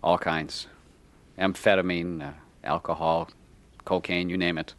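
A man's voice: low voice, flat delivery, unhurried, fairly bright tone, no echo. An actor playing an earnest, insecure man struggling with addiction in a radio drama, sometimes hesitant and defensive. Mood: ashamed confession. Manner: hesitant, stammering in dialogue. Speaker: lead actor and narrator. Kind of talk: radio drama acting and first-person narration.

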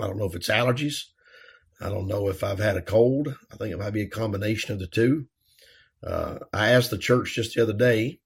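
A man, a pastor hosting his own podcast, talking earnestly with a dry, raspy throat and plenty of "uh" fillers. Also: lowish voice. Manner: earnest, folksy, frequent "uh" fillers. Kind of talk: casual podcast monologue.

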